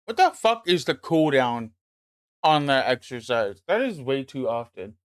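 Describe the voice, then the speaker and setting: A man's voice: mid-range voice, big pitch swings, unhurried, clean tone, no room sound, clean studio sound. A man streaming live who chats in a laid-back, reassuring way and swears casually while he plays. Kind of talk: casual chat while gaming.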